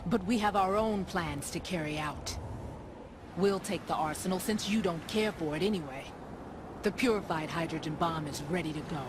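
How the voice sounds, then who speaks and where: An actress playing a cool, defiant mercenary in a video game cutscene, suspicious, sardonic and cutting. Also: female voice, on the low side, moderate pace, noisy background, close to an everyday voice. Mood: resolute. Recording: very loud music over the dialogue.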